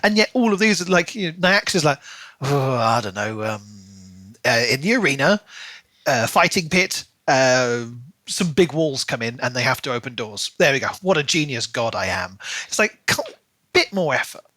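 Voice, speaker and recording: A man co-hosting a podcast, casually discussing a book in an animated way and putting on mocking imagined quotes. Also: medium pitch, normal speed, no echo. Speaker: podcast co-host.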